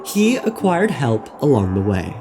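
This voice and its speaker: Slow, lively male video essayist over music. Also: mid-range voice. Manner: theatrical, wry, dramatic pauses. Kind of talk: scripted narration of game lore.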